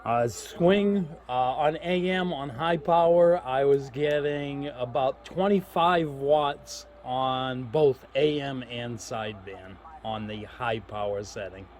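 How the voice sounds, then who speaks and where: A man's voice: mid-range voice, slow pace, hardly any pauses, darkish tone. A man giving a casual hands-on product demo, explaining step by step in an informal, folksy way. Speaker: host of a radio gear review channel.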